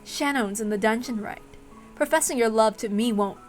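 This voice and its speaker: A woman's voice: fairly high pitch, normal speed, faint music behind, natural voice. A woman voicing a cruel, condescending demon examiner in a story reading, taunting with mocking, coaxing questions. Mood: sneering, taunting.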